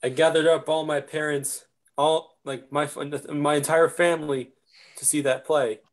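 A man's voice: a little high, fairly even intonation, moderate pace. A young man chatting casually as an actor guest on a video call. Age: young adult. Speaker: amateur actor, panel guest. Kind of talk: casual chat.

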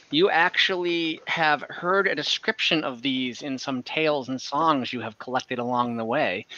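A young man runs a tabletop game over a headset, his voice bright and a little higher than most. The call audio sounds dull and lo-fi, close and dry. He narrates loosely, with plenty of fillers. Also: dry recording. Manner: relaxed, with frequent fillers. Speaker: game master. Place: home room on a video call.

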